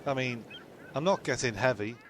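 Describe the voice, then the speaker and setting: A man's voice: medium pitch, animated, moderate pace, slight background noise, natural voice. A man playing a matey, sweary, exasperated castaway in a comic radio play.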